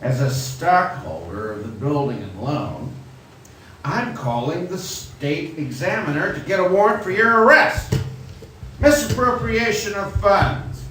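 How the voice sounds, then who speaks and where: A man's voice: a little high, expressive, a little slow, fairly bright tone. A man playing a cold, gloating old rich miser, sneering and mocking in an old-time radio play. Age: older. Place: theatre stage. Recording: very echoey theatre, distant mic, faint noise.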